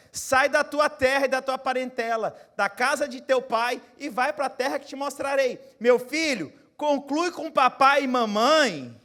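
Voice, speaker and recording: A Brazilian pastor in his forties preaching a sermon, moving from quiet, close asides to loud, rising exhortation and putting questions straight to his congregation. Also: very high voice. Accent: Brazilian Portuguese. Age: 40s. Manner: talks straight to the congregation, asking them questions.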